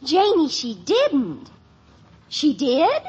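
An actress playing a chatty, exasperated teenage daughter in a 1950s radio sitcom. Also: female voice, sits high, wildly swooping pitch, extremely slow, a little mellow, full character voice. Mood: gossipy. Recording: dull old radio broadcast recording.

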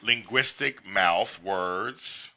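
A man hosting a relaxed interview show, talking in a quick, upbeat way and hopping between ideas. His voice sits a bit low but sounds strikingly bright and sharp. His throat is dry. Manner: chatty, upbeat, jumps between thoughts. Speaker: podcast host. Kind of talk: casual interview chat.

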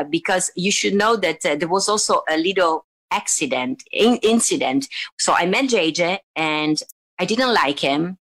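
Chatty Italian woman with a low voice. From Italy. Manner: chatty, animated, frequent restarts and fillers. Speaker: singer and interview guest. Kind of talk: casual conversational storytelling.

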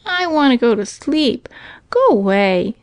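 A woman's voice: fairly high pitch, very animated, a little slow, no room sound, voice pushed up. An eager young farm boy from a storybook, as a woman reader plays him. The voice is raised and boyish, impulsive yet a bit timid, recorded dry. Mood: sleepy, startled. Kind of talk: storybook character voice.

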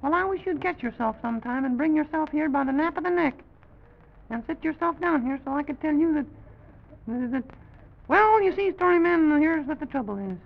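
Fussy little president of a children's toy land: extremely high, crackly voice, flustered rambling, muffled vintage recording. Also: male voice, expressive, fairly quick, exaggerated voice. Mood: exasperated. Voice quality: high, creaky. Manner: fussy, folksy exclamations, rambling and repeating himself. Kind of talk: old-time children's story show character acting. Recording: dull, lo-fi vintage broadcast recording.

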